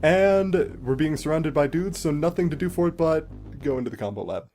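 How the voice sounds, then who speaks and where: A man's voice: a little high, lively intonation, fairly quick, mellow. Man explaining a video game over music. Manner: explanatory, casually sweary. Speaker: let's play host. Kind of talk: casual game commentary.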